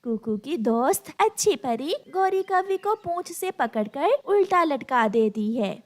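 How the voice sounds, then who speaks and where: A woman's voice: high-pitched, very animated, unhurried. A woman narrating a scripted children's story.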